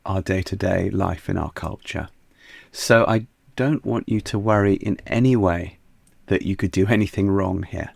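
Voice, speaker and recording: A man leads a guided yoga nidra meditation, his low voice gentle and soothing, with a gravelly edge. He speaks very slowly, letting each phrase settle, and at times his voice drops almost to a whisper. The recording is dry and close, with no room sound. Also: no room sound. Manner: soft, soothing, unhurried guidance.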